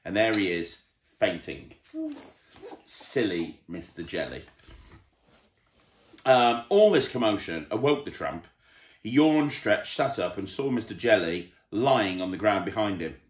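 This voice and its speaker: Male children's entertainer: storybook narration, highly varied intonation, audible room echo. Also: animated, unhurried, pauses often. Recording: roomy, echoey indoor sound.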